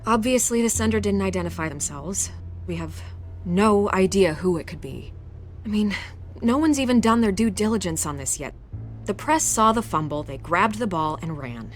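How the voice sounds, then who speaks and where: An actress playing a confident, persuasive newspaper reporter in a video game, assured and coaxing. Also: female voice, medium pitch, lively intonation, normal speed, faint music behind, natural voice.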